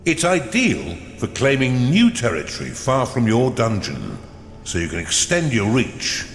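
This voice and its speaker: Echoing, swooping theatrical dungeon advisor. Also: male voice, medium pitch, wildly swooping pitch. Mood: grand, instructive. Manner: theatrical, formal, deliberate announcing. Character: theatrical male dungeon advisor addressing an evil overlord. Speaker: game narrator. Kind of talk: scripted fantasy game narration. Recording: roomy, long reverb, faint noise.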